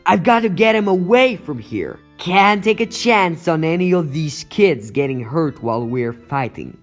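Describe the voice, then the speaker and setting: Guy doing young teen superhero, highish animated voice, wisecracky but anxious, dramatic thinking out loud, comic dub. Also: measured pace, soft background music. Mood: determined. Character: wisecracking teenage male superhero, earnest and anxious. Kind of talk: comic book dub voice acting.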